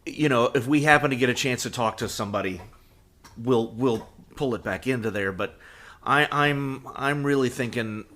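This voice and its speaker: A man in his fifties co-hosting a podcast, chatting casually and reminiscing in a halting way, repeating phrases as he goes. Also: mid-range voice, pushed and bright. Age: 50s. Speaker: podcast co-host. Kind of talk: casual reminiscing fan chat.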